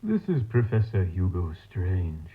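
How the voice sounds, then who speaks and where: A tinny, narrow-band tape log carries the low voice of a male mad scientist, played by an actor. He dictates in a formal, measured, coldly clinical manner, as in an analog horror audio drama. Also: huge pitch swings, exaggerated voice.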